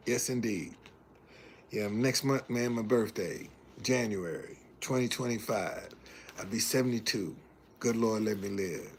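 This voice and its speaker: Gravelly seventy-year-old man, slow preacher. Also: on the low side, a little hiss or hum. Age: 70s. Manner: folksy, preacherly, reads chat messages aloud. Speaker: livestream host. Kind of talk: casual livestream talk and preaching.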